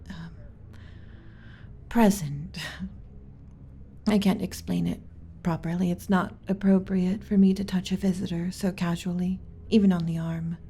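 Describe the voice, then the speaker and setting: A woman playing a gentle, submissive alien servant in an ASMR roleplay, speaking softly and breathily with hesitant, deferential pauses and some whispering. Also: on the low side, slight background noise. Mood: flustered, tender. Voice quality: soft, breathy with whispered stretches. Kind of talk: ASMR roleplay, soft intimate delivery.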